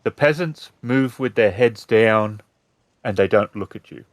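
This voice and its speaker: A man narrating a tabletop roleplaying game as storyteller, describing scenes in measured, deliberate phrases. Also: lowish voice, no room sound.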